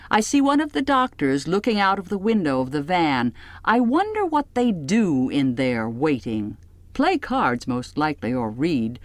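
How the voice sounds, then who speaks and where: A woman's voice: low-pitched, no room sound. A woman reading a literary first-person story as scripted audiobook narration.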